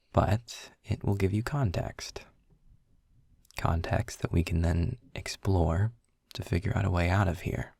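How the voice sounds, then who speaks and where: Man voicing a very deep, husky sardonic demon. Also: a little mellow, dry recording, full character voice. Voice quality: husky, breathy, gravelly low voice. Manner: dry, measured, halting with pauses. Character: sarcastic, guarded male demon guide, secretly caring. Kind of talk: intimate scripted audio roleplay, slow and deliberate. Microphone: close studio-style microphone, dry.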